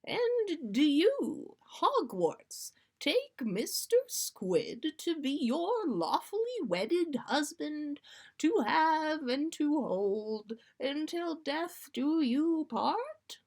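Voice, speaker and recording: A woman voicing a solemn, joyful wedding officiant in a storybook reading, ceremonial and drawn-out in delivery. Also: high voice, big pitch swings, voice pushed up, full character voice.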